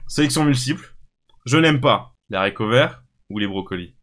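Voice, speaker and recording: A man's voice: medium pitch, animated, moderate pace. A man hosting a live quiz in a casual, playful, slangy and exclamatory way. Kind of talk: casual, jokey livestream quiz commentary. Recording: loud music under the voice. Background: music.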